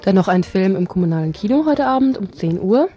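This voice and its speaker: A woman's voice: medium pitch, lively intonation, brisk, lo-fi sound. A woman presenting informal radio event listings, reading a text aloud and sometimes stumbling over the words. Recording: radio show over faint music bed. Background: soft background music.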